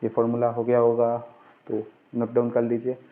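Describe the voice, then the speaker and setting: A man's voice: medium pitch, completely flat delivery, moderate pace. A man teaching as an informal grammar tutor, instructive, asking questions and answering them himself. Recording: muffled, phone-like, narrow-band sound.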